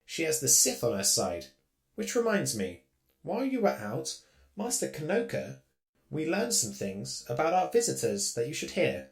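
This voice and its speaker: A man plays a polite, fretful robot servant in a sci-fi roleplay. The voice swings up and down with a creaky edge, always formal and deferential. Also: medium pitch, huge pitch swings, moderate pace, full character voice. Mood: anxious, cautious. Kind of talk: scripted fan audio roleplay.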